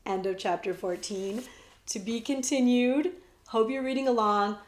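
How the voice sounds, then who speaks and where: Woman librarian, storybook read-aloud, bright pitch, roomy sound. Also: unhurried. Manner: expressive storytelling, shifts between whispers and loud voices. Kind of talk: children's book read-aloud.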